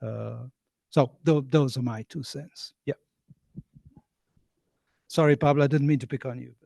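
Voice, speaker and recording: A man's voice: medium pitch, a little slow, creaky, warm tone, no room sound. A man at a meeting giving his input casually, with fillers. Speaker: meeting participant. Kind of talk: meeting discussion. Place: conference room.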